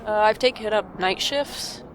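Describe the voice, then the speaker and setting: A woman's voice: mid-range voice, measured pace, a crowd in the background, natural voice, poor audio. A woman playing an anxious, sardonic older sibling in an audio drama, with a dry, wry, self-deprecating way of talking. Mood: prickly, teasing. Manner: dry, wry, theatrical sarcasm. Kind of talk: audio drama acting with first-person narration.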